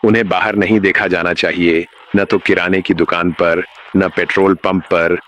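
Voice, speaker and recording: A man playing a grave, menacing emergency announcer in a scripted horror broadcast, speaking in a measured way. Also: low-pitched, little pitch movement, a bit bright, dull audio, a little hiss or hum. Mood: grave, ominous. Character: ominous male emergency broadcast announcer warning of uncanny teachers. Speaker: narrator.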